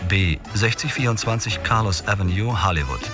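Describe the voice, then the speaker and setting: Actor doing low-voiced guy reading a clipped police report, kinda distant, music under it. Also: expressive, moderate pace, natural voice. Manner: clipped, reading in note form. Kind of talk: radio drama reading.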